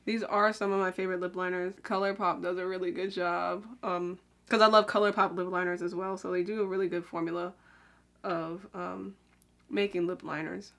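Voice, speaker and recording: A young woman chatting casually while she does her makeup, rambling with lots of "like" and "um" and drifting quieter as she works. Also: fairly low pitch, flat delivery, moderate pace, vocal fry. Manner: talks while applying makeup, drifts quieter then brighter. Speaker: beauty vlogger. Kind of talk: casual get-ready-with-me makeup chat.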